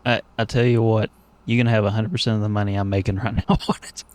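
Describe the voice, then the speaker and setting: A man's voice: lowish voice, flat delivery, quick pace, darkish tone. A man hosting a podcast in casual conversation, jokey and loose with his swearing. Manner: jokey, profane banter. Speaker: podcast host. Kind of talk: casual chat.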